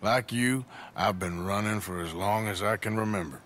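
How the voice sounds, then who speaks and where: An actor playing a weary, gruff outlaw man in his thirties with a gravelly drawl. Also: fairly low pitch, moderate pace, fairly bright tone, natural voice. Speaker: outlaw protagonist.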